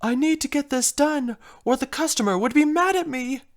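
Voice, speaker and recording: A woman's voice: a little high, a little slow, close to an everyday voice. A woman voicing a refined, fretful female pony fashion designer with a theatrical, genteel manner in a first-person story. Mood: panicked, dramatic. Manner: theatrical, genteel, uses "darling". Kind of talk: first-person fan story narration in character.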